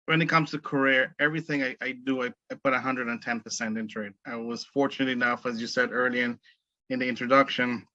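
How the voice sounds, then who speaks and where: A middle-aged man from the Caribbean talks in a measured, earnest way, often pausing on fillers and restarting his sentences. His voice is bright and forward. It is recorded dry and close, with a slightly dull, muffled sound. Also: medium pitch, steady tone, no room sound. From Caribbean, born in Trinidad, raised in Barbados. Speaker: guest, entrepreneur. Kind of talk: casual interview conversation.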